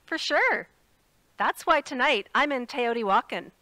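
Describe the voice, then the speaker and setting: Actress as spirited female correspondent, higher voice. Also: expressive, unhurried, sharp tone, dry recording, full character voice. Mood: playful. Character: spirited woman radio correspondent, playful and earnest. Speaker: radio correspondent. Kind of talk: audio drama, phone-in report.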